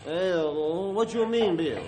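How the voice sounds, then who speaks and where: An actor playing a big, hearty French Canadian lumber camp foreman who speaks halting broken English in an old-time radio drama. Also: high-pitched, lively intonation, rough recording. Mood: genial, curious. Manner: halting broken English, refers to himself by name.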